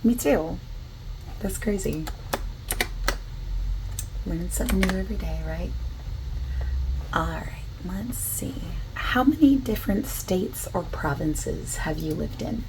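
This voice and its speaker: A soft-spoken woman doing an ASMR roleplay as a survey interviewer, asking questions in a gentle, casual, reassuring way. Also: fairly low pitch, expressive, slow, pauses often. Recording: constant keyboard typing noise. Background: computer keyboard typing and clicking.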